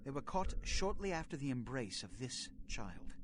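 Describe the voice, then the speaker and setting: An actor playing a solemn, pompous vampire prince in a video game, speaking in formal, measured, grandiloquent oratory. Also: male voice, medium pitch, big pitch swings, natural voice. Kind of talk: theatrical video game speech.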